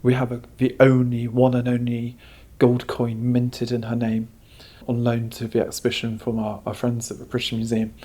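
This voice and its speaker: A man talks as an exhibition curator in a conversational interview. His voice is husky and mid-pitched, and its melody stays rather flat as he explains history. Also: normal speed.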